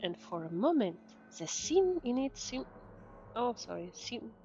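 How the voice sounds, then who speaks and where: A woman's voice: a little high, big pitch swings, unhurried, darkish tone, husky. A woman VTuber giving casual commentary on a game and reading dialogue aloud. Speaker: VTuber streamer. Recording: dull microphone, game music behind.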